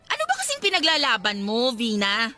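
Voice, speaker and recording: A woman's voice: a little high, wildly swooping pitch, a little fast, bright, forceful tone, full character voice. A woman acting a blunt, judgmental, gossiping young cousin in an audio drama. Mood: defensive. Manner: blunt, argumentative. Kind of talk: acted Tagalog audio drama dialogue.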